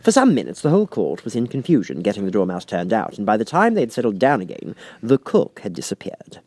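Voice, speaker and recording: A man's voice: fairly low pitch, wildly swooping pitch, quick pace, a little mellow, husky, no room sound. A man reading a children's story aloud as scripted audiobook narration.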